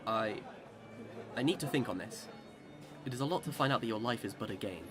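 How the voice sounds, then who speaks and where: A man's voice: mid-range voice, expressive, fairly quick, over loud music, over a noisy crowd, close to an everyday voice. A man acting in a radio drama as a boastful immortal Englishman who swings between cheer and despair, with theatrical old-time speech. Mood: puzzled, troubled.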